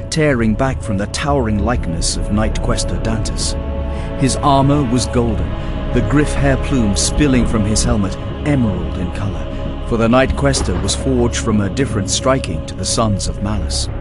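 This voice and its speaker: A man reading dramatic fantasy narration in a grave, portentous manner as an audiobook narrator. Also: on the low side. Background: music.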